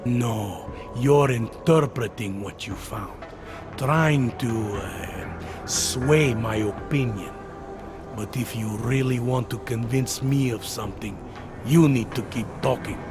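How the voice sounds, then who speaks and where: An actor playing a gruff, skeptical police detective in a video game, blunt and measured as he questions things. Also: mid-range voice, over music, natural voice. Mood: challenging. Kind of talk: video game cutscene acting.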